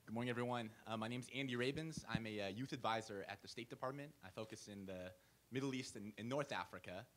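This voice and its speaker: A man working as a youth advisor introduces himself in a calm, earnest way in a conference room. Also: medium pitch, quick pace. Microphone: handheld microphone.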